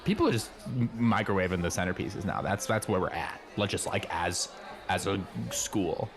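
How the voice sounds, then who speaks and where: Casting: talkative, earnest high-school kid, fairly deep voice, loose casual improv comedy delivery. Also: male voice, lively intonation, moderate pace. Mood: agreeing, exasperated. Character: chatty, nosy, friendly teenage schoolboy showing newcomer around. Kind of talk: improvised comedy dialogue, naturalistic casual chat.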